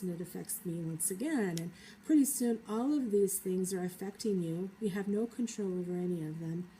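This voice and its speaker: Woman with a cold, low mellow voice, reflective vlog monologue, halting and thoughtful. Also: slight background noise, rough recording. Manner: thoughtful, hesitant, many pauses and fillers. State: has a cold. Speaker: vlogger.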